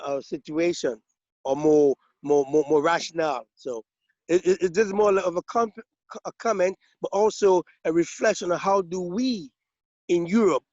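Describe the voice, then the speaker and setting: A man from Liberia speaking up in a webinar, making a long, halting comment full of restarts and repeated words over a video call. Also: on the high side, no room sound. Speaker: webinar participant. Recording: dull, lo-fi video call audio.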